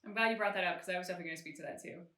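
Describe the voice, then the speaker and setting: A woman's voice: lowish voice, racing, bright, forceful tone. A woman co-hosting a reaction show, talking through a game story in a casual, emphatic, explanatory way. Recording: roomy, echoey home-recorded sound.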